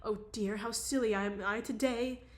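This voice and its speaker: A woman reading aloud, voicing an emotional young society woman with a high, excitable voice. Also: moderate pace, close to an everyday voice. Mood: agitated.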